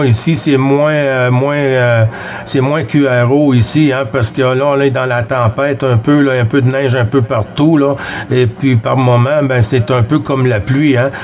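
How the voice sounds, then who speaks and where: Man, Québécois French accent, CB radio operator, friendly casual chat, middle pitch, reads out call signs. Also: darkish tone. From Quebec. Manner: friendly and unhurried, reading out call signs and signal reports.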